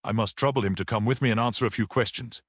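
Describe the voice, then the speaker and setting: A man voicing a brisk, confident police detective in a storybook reading, matter-of-fact and assertive. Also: mid-range voice, steady tone, a bit bright, no room sound, clean studio sound, close to an everyday voice. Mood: firm.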